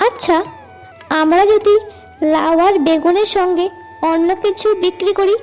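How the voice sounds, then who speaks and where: A woman's voice: extremely high pitch, fairly even intonation, moderate pace, a little mellow, soft background music. A woman voicing a clever, caring young village wife in a cartoon, practical and attentive. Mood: eager, practical.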